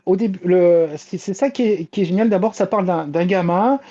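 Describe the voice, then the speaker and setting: Female columnist, very mellow tone, enthusiastic but halting, on a muffled lo-fi link. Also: lowish voice. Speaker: manga columnist. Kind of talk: casual enthusiastic review.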